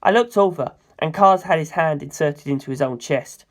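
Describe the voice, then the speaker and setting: A story reader with a medium-pitched voice narrates first-person fiction. The delivery is scripted narration, read aloud as the main character's own account. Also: normal speed.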